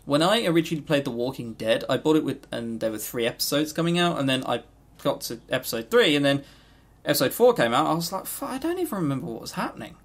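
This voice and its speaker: Guy on a podcast, bit of vocal fry, opinionated but hesitant, casual review chat. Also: on the high side, sing-song, fast talker. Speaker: podcast co-host.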